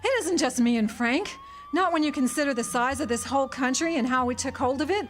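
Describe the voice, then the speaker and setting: A woman acts out a defiant small-town woman weighed down by guilt. Her voice sits on the high side, and she confesses earnestly, with the dramatic delivery of a period radio play. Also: fairly quick, a little hiss or hum, close to an everyday voice. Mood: bitter. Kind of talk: radio drama acting, 1940s setting. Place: live amateur radio drama performance at an amphitheater.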